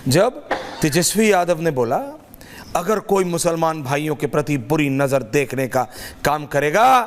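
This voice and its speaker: A man's voice: fairly high pitch, expressive, unhurried. A man hosting a heated TV news debate, confrontational and insistent, repeating phrases for emphasis and slipping into English, with a strained sore throat. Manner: mixes English phrases into Hindi. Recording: echoing hall-like reverb.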